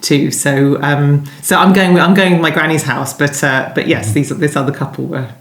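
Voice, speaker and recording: A woman with a deep voice talks as an interviewed novelist. She wanders through her answers, pausing and rewording. The room adds a clear echo. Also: steady tone. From London, England. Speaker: guest novelist being interviewed. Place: indoors at home. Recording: echoey room.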